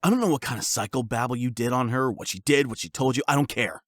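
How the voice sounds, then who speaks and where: Man playing a hot-headed, gruff young delinquent. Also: medium pitch, quick pace, no room sound, studio quality, close to an everyday voice. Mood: hostile, demanding. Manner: blunt, confrontational, swearing.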